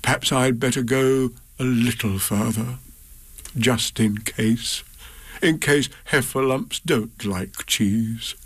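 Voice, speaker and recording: A man voicing a slow, dreamy, honey-loving male bear who muses aloud in a drawn-out way during a storybook reading. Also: medium pitch, slightly rough. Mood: musing.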